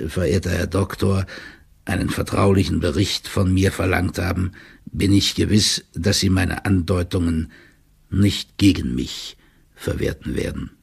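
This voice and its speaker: A man playing an older, loyal court clerk who delivers a confidential report in a formal, measured and officious manner, as in an audiobook drama. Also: lowish voice, creaky, slightly rough, exaggerated voice. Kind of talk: dramatised first-person reading of a formal written report.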